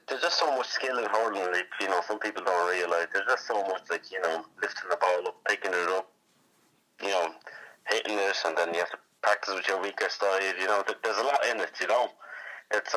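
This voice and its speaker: An Irish man answers interview questions casually. His voice sits on the low side, with a very bright, tense edge. He circles back over phrases and peppers his talk with little check-ins. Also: poor audio. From born in Iraq, moved to Ireland as a child. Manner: repetitive, conversational, peppered with "you know". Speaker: interview guest, hurler.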